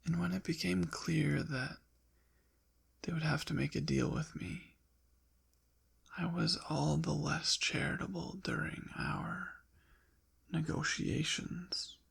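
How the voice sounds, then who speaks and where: Man playing a slow, breathy, gravelly demon. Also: fairly high pitch, fairly even intonation, a bit bright, heavily acted. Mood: smug, quietly menacing. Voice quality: breathy, often whispered, gravelly. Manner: coy, teasing, haughty, formal old-fashioned wording. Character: suave, possessive ancient male demon trapped in a necklace. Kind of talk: intimate ASMR roleplay acting.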